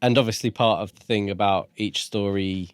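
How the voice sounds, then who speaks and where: A man's voice: fairly low pitch, steady tone, moderate pace, smooth, no room sound, studio quality. A man co-hosting a book podcast in casual, reflective discussion, thinking aloud in a tentative way.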